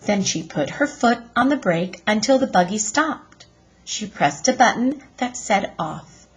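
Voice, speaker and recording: A woman with a husky voice reading a children's chapter book aloud in a deliberate, expressive teacher's style. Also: medium pitch, low-quality recording.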